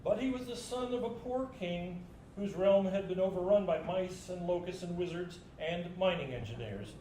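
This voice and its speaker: A man's voice: high voice, moderate pace, mellow, roomy sound, slight background noise, poor audio. A man narrating a literary story in a live staged reading.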